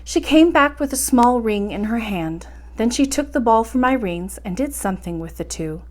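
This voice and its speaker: Animated woman reading a bedtime story. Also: fairly high pitch, moderate pace. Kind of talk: expressive bedtime-story narration.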